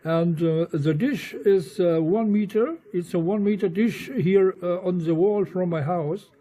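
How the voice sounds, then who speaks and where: Higher-pitched Dutch man on ham radio. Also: dark tone. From eastern Netherlands. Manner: halting, spells words with phonetic alphabet. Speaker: amateur radio operator.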